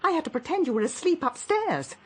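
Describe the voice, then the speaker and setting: A woman's voice: sits high, sing-song, a little fast, darkish tone. An actress playing a sarcastic, exasperated older wife who scolds her husband in a radio drama. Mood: indignant.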